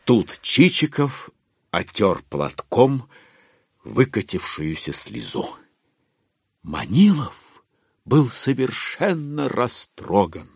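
An actor as a wry narrator, reading a classic novel as dramatic literary storytelling. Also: male voice, medium pitch, very animated, slow, gravelly, long pauses, slightly rough, no echo, clean studio sound, full character voice. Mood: amused, measured.

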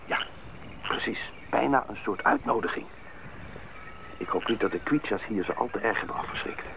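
A man playing a level-headed bush pilot in a radio drama, earnest and deliberate. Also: lowish voice, gravelly. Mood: thoughtful. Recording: noisy, thin old radio recording.

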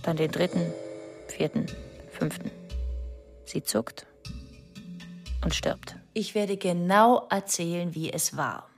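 An actress playing a troubled, haunted wife who confesses to a police inspector in intense, fragmented radio drama narration. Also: female voice, on the low side, slow pace, creaky, pauses often, soft background music.

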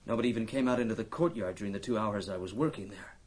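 An actor playing a matter-of-fact archaeologist in a radio drama, giving precise, factual answers in a cooperative way. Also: male voice, lowish voice, rapid-fire, darkish tone, close to an everyday voice.

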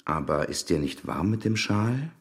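An actor playing a middle-aged, smooth-talking and insistent shopkeeper in a German radio play, familiar and coaxing in manner. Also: male voice, on the low side, expressive, moderate pace.

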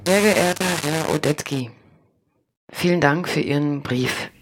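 An actress playing a solemn, remorseful woman reading a formal letter in a radio drama, measured and deliberate, with a husky, creaky voice. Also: low-pitched, background noise.

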